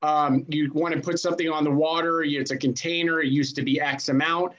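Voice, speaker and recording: A man's voice: fairly high pitch, little pitch movement, fairly quick, a bit bright, dull audio. A man hosting a podcast interview, asking questions in a rambling, joking way with lots of fillers. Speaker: podcast host.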